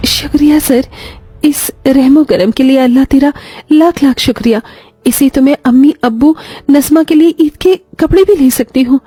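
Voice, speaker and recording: A woman's voice: sits high, moderate pace, mellow, no room sound, voice pushed up, close to an everyday voice. A woman voicing a kind, devoted young daughter-in-law in a moral story, speaking earnestly, respectfully and with feeling. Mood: grateful.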